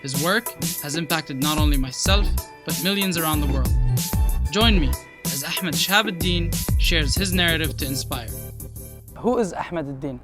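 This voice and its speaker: A male host with a fairly high voice delivers a prepared, earnest introduction full of admiration, then moves into interview questions. Clear music plays underneath him. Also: normal speed. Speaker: podcast host.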